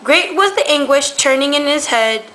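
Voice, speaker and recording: A woman's voice: high voice, expressive, normal speed, sharp tone. A young woman reading scripted storytelling narration for a student puppet show. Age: teenager. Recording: amateur recording with faint noise.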